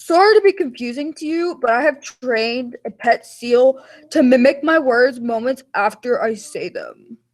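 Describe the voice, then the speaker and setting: Woman as a high-voiced stubborn chief meteorologist. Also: expressive, unhurried, low-quality recording. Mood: indignant. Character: grizzled, stubborn male chief meteorologist and war veteran. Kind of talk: mock radio interview.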